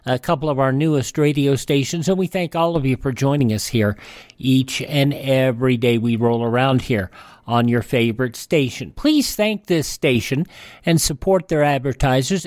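A man's voice: medium pitch, darkish tone, no echo, clean studio sound. A man hosting a radio show of vintage programs, talking conversationally to listeners.

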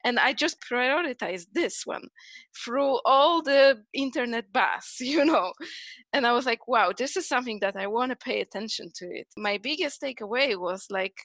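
A woman's voice: a little high, lively intonation, normal speed, fairly bright tone, no room sound. A woman from Poland with a Polish accent hosts a podcast, chatting casually and personally, often searching for her words. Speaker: podcast host.

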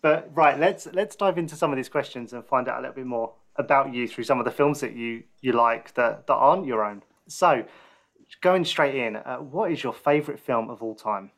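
A man hosts a film podcast in a casual, conversational way. His voice sits at a middling pitch as he interviews his guest. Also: moderate pace, fairly bright tone.

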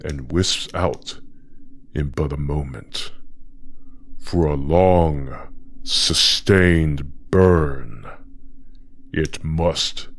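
Extremely deep, ancient predatory spirit. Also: male voice, full character voice. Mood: slow menace. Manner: slow, ominous, archaic poetic phrasing. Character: ancient predatory spirit, slow, menacing, hungry for fear. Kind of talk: scripted audio drama monologue.

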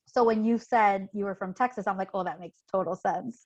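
A woman from Chicago talks as a guest on a casual podcast. She chats freely, with a lot of "like" and "you know", in a middle-pitched voice. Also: a little fast, dull audio. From Chicago, USA. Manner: chatty, lots of fillers like "like" and "you know".

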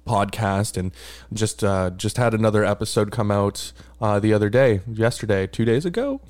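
A man's voice: on the low side, lively intonation, normal speed, a little mellow. A man co-hosting a casual podcast, rambling with lots of fillers and jokes. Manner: rambling, filler-heavy, joking. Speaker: podcast co-host.

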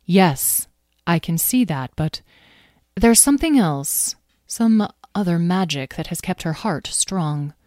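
A woman voicing a wise, calm male pony healer who speaks softly and slowly. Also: on the low side, no room sound, clean studio sound. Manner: soft, slow, measured.

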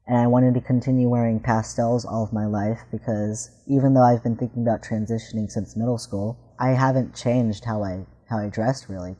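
Candid man in his twenties, dark voice. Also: breathy edge, rough recording. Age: 20s. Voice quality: deep voice. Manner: candid, thinks aloud with restarts. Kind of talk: casual discussion.

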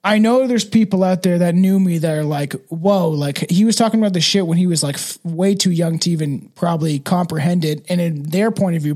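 A man's voice: sits high, darkish tone. A man in his thirties chatting casually as a podcast host, rambling and slangy with frequent swearing. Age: 30s.

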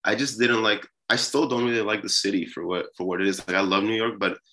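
A young man with a very low but bright, forceful voice talks about his music in a loose, rambling way. He leans on fillers and restarts as he thinks aloud. It sounds like a casual sit-down interview. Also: fast. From Peru. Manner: relaxed, rambling, many fillers. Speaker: musician guest.

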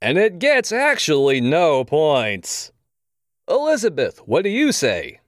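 An actor playing a smug, showy and menacing game show host in an audio drama. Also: male voice, a little high, huge pitch swings, slow pace, no echo, clean studio sound, full character voice. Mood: showy, needling. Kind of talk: theatrical game show hosting.

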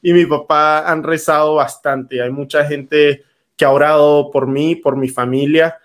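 A man from Venezuela, a guest in an interview telling his family's story in a halting way with many restarts. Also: a little high, fairly even intonation. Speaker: guest, startup founder.

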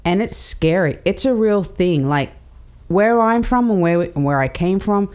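Lower-voiced woman, from Australia, chatty storyteller on a podcast panel. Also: lively intonation, measured pace, darkish tone, clean tone. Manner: storytelling with quoted asides, filler-heavy. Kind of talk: casual panel chat.

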